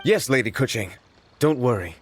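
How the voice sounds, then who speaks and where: Game dub: dutiful male clerk played by a man, deferential and earnest, animated middle-register voice with clear music underneath. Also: mid-range voice, moderate pace, lightly acted. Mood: obedient. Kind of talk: video game dub acting.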